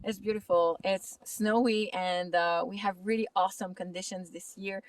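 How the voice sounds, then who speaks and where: A woman with a French Canadian accent giving a casual kitesurfing lesson outside on a snowy lake, speaking slightly halting English. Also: medium pitch, steady tone, dry recording, far from the mic. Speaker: kitesurfing instructor. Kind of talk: outdoor tutorial vlog. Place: snowy lake outdoors in winter.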